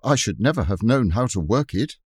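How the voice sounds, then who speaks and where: A man reading the part of an eager, clever young boy in an audiobook, raising his voice for the boy's excited explanations. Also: on the low side, fairly quick, husky, no echo, clean studio sound, exaggerated voice. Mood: dramatic.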